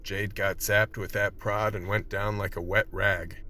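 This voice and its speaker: An actor playing a wry vice team member, narrating a radio play colloquially. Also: male voice, fairly low pitch, flat delivery, unhurried, sharp tone, a little hiss or hum. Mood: grim storytelling. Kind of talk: scripted in-character narration.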